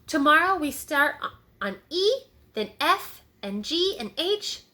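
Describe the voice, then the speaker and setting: A woman's voice: high-pitched, big pitch swings, slow, sharp tone, pitched above the performer's own voice, heavily acted. A woman voicing a proud, eager little kindergarten boy in a children's storybook read-aloud. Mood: eager, excited.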